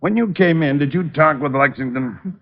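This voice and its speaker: An exasperated and none-too-quick police lieutenant played by a male actor grumbles in a fairly high voice. He hesitates and gets flustered, in old radio style with muffled sound. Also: natural voice. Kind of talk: old-time radio comic drama. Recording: dull vintage radio recording.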